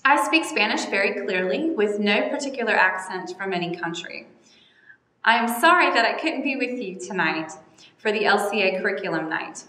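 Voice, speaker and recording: A woman from North Carolina, a teacher, giving a measured, orderly recorded welcome message to parents. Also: mid-range voice, sharp tone. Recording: echoey room with long reverb.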